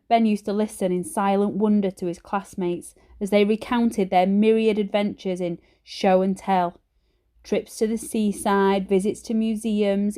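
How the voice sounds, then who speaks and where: A woman narrating a children's book like a teacher reading aloud, with expressive, emphatic phrasing. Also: medium pitch.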